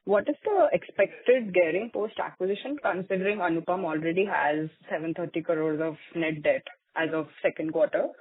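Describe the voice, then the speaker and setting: A woman's voice: lowish voice, moderate pace, hardly any pauses, husky, no room sound. A woman, politely and formally putting questions as an analyst on a conference call. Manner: polite, deferential. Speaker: investment analyst asking questions. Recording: dry, tinny phone line.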